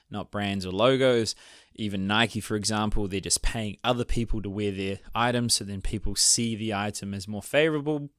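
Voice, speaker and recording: A man's voice: lowish voice, a bit bright, dry recording, studio quality. A man hosting a podcast, chatting casually, rambling loosely and laughing often. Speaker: podcast host.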